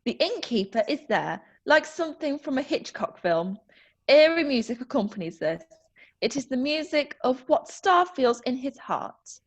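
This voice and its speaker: A woman's voice: sits high, big pitch swings, normal speed. A woman reading stage directions aloud in a plain, matter-of-fact way.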